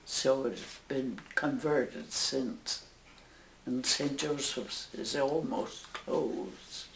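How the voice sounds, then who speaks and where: A woman over a hundred years old with a Northern Irish accent, a nun slowly recalling her life in an oral history interview, halting and with soft laughs. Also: very deep voice, vocal fry, roomy sound, slight background noise, poor audio. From Northern Ireland. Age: over 100.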